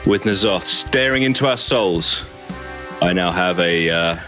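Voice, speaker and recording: Lower-voiced man cracking puns. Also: pushed and bright, soft background music. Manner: punning, self-deprecating, halting. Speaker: podcast co-host. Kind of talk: casual podcast chat.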